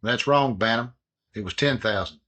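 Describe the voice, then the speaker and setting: An actor playing a police detective who needles a suspect in a dry, knowing way. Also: male voice, mid-range voice, normal speed, sharp tone. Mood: needling. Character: wry male police detective partner.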